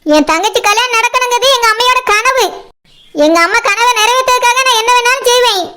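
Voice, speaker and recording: A woman voicing a stubborn, snappy village woman in a cartoon comedy, with a Kanyakumari Tamil accent and a pitched-up voice. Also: a little fast, pushed and bright, slight background noise. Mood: angry, defiant. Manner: snappy, dismissive, argumentative. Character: brusque, stubborn village woman, fiercely protective older sister. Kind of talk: animated village comedy dialogue.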